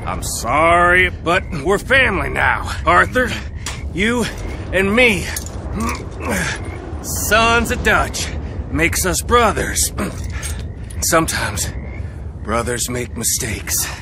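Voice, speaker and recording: Young swaggering game gunslinger: higher-set gravelly voice, American Western accent, cajoling, clear noise in the background. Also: male voice, sing-song, slow, long pauses, exaggerated voice. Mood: wheedling. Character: cocky, wheedling young male Wild West outlaw. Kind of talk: video game cutscene acting.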